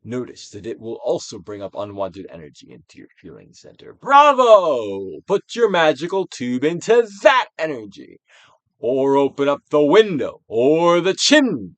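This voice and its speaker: A man giving a dramatic, Shakespearean-style reading of a self-help book, deliberate and theatrical, with drawn-out emphasis. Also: huge pitch swings, dry recording. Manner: theatrical Shakespearean delivery, swinging from hushed to booming. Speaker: audiobook reader.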